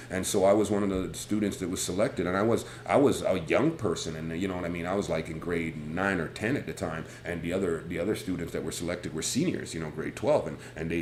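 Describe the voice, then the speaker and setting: A man with a big, booming voice, hosting a casual live stream and telling a rambling, animated story from his school days. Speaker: host. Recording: echoey room with faint background noise.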